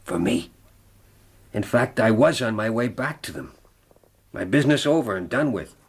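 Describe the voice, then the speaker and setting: An actor playing a rugged, defiant frontier man with a husky voice in a radio drama. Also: medium pitch, animated, moderate pace. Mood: brooding. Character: rugged frontier man in love, narrating his ordeal, defiant. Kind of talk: radio drama acting and narration.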